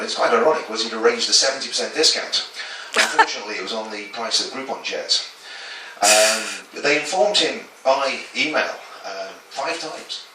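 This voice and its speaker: A man doing a topical stand-up set in a small comedy club, self-deprecating and stumbling into quick asides. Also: medium pitch, background noise. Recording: echoey club from a distance with chatter.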